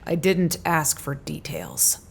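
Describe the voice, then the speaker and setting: A woman playing a weary, foul-mouthed research scientist in an audio drama, halting and dryly clinical. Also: low voice, very animated, gravelly, background noise, heavily acted. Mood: awkward, subdued. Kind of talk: audio drama acting, naturalistic.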